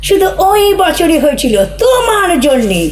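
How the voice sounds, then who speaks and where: A high, expressive female voice of an actress as a bickering wife in a comedy play. She is sarcastic and scolding, mocking her husband with theatrical flair. There is room echo and faint music behind. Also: moderate pace, slight background noise. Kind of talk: Bengali audio comedy play acting.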